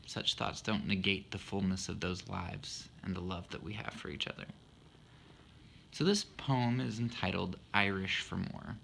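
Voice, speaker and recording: A man's voice: on the low side, vocal fry, a bit bright, a little hiss or hum. A man in his thirties explaining a poem he wrote for his wife, earnest and thoughtful with a self-deprecating humor. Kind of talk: reflective spoken monologue.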